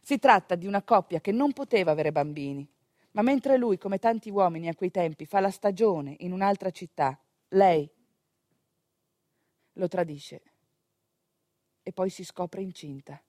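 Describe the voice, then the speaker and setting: A woman actress telling a story as a theatrical monologue, expressive, colloquial and confiding, with a northeastern Italian accent. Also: lowish voice, measured pace, long pauses, no echo, clean studio sound.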